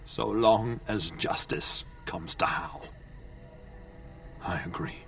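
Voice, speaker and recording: An actor playing a wounded, dying nobleman father, tender and resolute, with halting, pained delivery in a fantasy game. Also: fairly low pitch, sing-song, vocal fry, a bit bright, recorded from a distance, faint music behind, full character voice. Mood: pleading, resigned.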